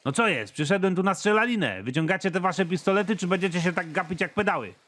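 A man voicing a tough ex-military father who delivers threats in a flat, deadpan way. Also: sits high, fast talker.

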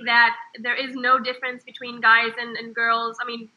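A woman from Israel, a startup founder, answering interview questions in a high voice, pausing often with fillers and restarts. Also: completely flat delivery, sharp tone, rough recording. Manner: hesitant, many fillers and restarts.

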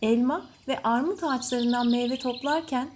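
A woman storyteller reading a scripted children's bedtime story in measured phrases. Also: high-pitched, a little mellow. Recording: faint background noise with birdsong. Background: birds chirping.

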